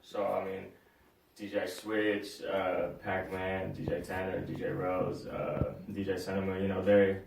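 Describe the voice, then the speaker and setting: A man's voice: low-pitched, monotone, measured pace, gravelly, noisy background. A young man from San Diego, a DJ being interviewed, talking in a laid-back, slangy way with lots of fillers. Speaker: guest DJ. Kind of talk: casual interview. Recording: roomy sound, mic at a distance, faint ambience.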